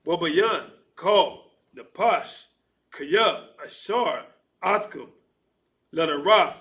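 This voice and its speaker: A man reading scripture aloud slowly, stopping to restart difficult words. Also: fairly high pitch, fairly bright tone. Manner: slow, halting reading with restarts on hard words. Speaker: scripture reader.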